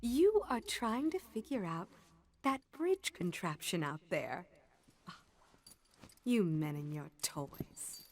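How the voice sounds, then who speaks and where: An actress playing a sultry goddess of love in a video game, seductive, unhurried and disdainful. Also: female voice, lowish voice, darkish tone, heavily acted. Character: sultry, languid goddess of love, teasing and dismissive. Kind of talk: dramatic video game voice acting.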